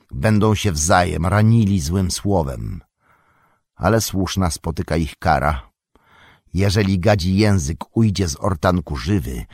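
A man's voice: deep voice, a little mellow, no room sound, studio quality, full character voice. A man voicing a stern, commanding old male wizard with an authoritative manner. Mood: grave. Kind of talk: audiobook character reading.

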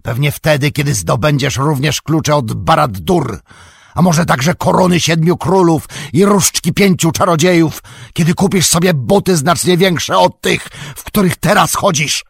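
A man voicing a proud, scornful old male wizard who mocks and shouts. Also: fairly high pitch, unhurried, vocal fry, slightly rough, no room sound, clean studio sound, voice pushed up, full character voice. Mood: scornful shouting. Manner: mocking, contemptuous, shouting. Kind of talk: audiobook character reading.

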